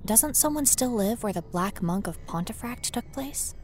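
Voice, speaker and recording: A woman's voice: on the high side, expressive, moderate pace, darkish tone, natural voice. A woman playing a nervous, wry paranormal investigator in an acted first-person horror audio drama, talking in a conversational, self-aware way. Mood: subdued, musing. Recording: noisy ambient sound bed behind voice.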